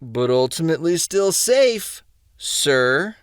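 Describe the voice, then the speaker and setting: Man playing a smug, slow-talking boastful sergeant. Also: a little high, very animated, faint music behind. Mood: smug, breezy. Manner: self-satisfied, breezily excusing himself. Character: smug, boastful male sergeant, slippery charmer. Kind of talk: audio drama acting.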